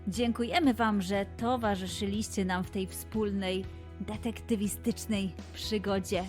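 Woman reading a kids story podcast, mid pitch, takes it slow, music bed behind. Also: steady tone, a little mellow. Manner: deliberate, addressing young listeners directly. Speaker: children's story podcast narrator and host. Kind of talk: scripted children's storytelling narration.

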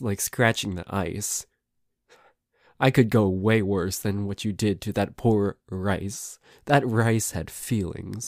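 A man's voice: lowish voice, darkish tone, dry recording, studio quality. A man playing a flustered, grumbling but secretly affectionate boyfriend in an ASMR roleplay, stammering and grudging as he talks. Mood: exasperated teasing. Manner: stammering, hesitant, grudging, sweary.

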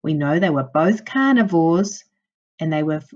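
A woman primary teacher reading a non-fiction book aloud to young children, with clear, instructive phrasing. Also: low-pitched, animated, measured pace, a little mellow, dull audio.

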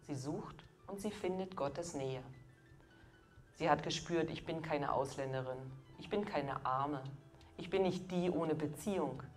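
Low-voiced middle-aged woman preaching. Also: a little slow, faint music behind. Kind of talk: scripted sermon.

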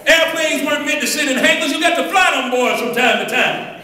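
A man's voice: very high-pitched, a little fast, pushed and bright. An older man preaching a sermon to a congregation, calling out and drawing responses in a loose, colloquial way, on a handheld mic. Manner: call-and-response preaching, colloquial, calls out "huh?". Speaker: pastor. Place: church hall, walking the floor before a congregation. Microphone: handheld microphone, filmed by a far camera. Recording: echoey hall from far off, congregation.

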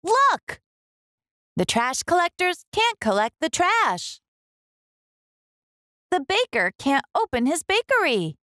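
A woman's voice: sits high, a bit bright, dry recording, clean studio sound, pitched above the performer's own voice, heavily acted. A woman voicing an exasperated, emphatic female police officer in a children's storybook.

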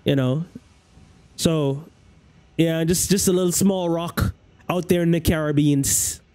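High-voiced Bahamian man streaming games. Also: fairly even intonation, slow, darkish tone. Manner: loose, rambling, repeats phrases. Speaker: game streamer. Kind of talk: casual livestream chat with viewers. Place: small room at home, indoors. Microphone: headset.

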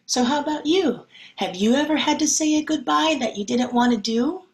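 A woman teacher reading a picture book and talking to young children in an expressive, deliberate, child-directed way. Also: sits high. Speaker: schoolteacher reading to pupils. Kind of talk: picture-book read-aloud and talk to children.

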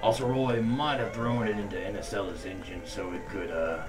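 A man playing a dim, cocky, carefree space adventurer who talks about himself in third person, deadpan, in a comic radio play. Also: lowish voice, music in the background, close to an everyday voice. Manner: slow, deadpan, refers to himself by name.

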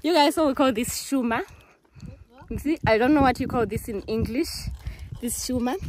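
A woman's voice: on the high side, normal speed. A young Zimbabwean woman vlogging in a rural village, chatting straight to her viewers in a casual way. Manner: chatty, addresses viewers directly. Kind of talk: casual vlog narration. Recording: noisy outdoor phone recording. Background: loud outdoor noise.

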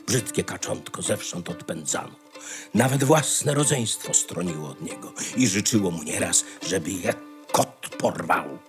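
A man's voice: low voice, animated, unhurried. Male narrator for fairy-tale audio drama, widely varied melody, faint music behind. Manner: dramatic storytelling.

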